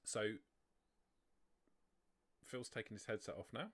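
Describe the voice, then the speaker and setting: A man's voice: medium pitch, a little slow, husky. A man narrating and explaining rules as game master of a tabletop roleplaying game, often restarting his sentences.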